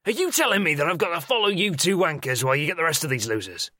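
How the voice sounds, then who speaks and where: A man's voice: on the high side, sing-song, fast, bright, forceful tone, dry recording, clean studio sound. A man with a British accent playing a crude, sarcastic, cowardly hotel guest in an audio drama. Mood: sneering, irritated. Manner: sneering, sarcastic, swearing.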